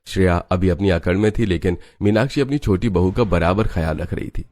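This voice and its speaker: A man's voice: low voice, a little fast, darkish tone. A man narrating a scripted family story for a cartoon.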